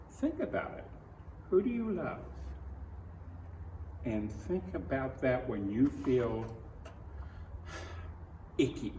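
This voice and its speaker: A man reading a picture book aloud to children, speaking in simple, deliberate phrases and putting questions to the listener. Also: mid-range voice, darkish tone. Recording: roomy, distant mic, clearly noisy.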